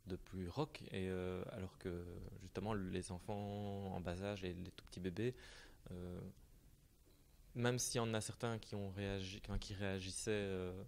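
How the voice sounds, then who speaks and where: A man with a lowish voice speaks about playing music for children in hospitals. He talks haltingly and searches for his words as he introduces himself at a panel discussion. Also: fairly even intonation, darkish tone.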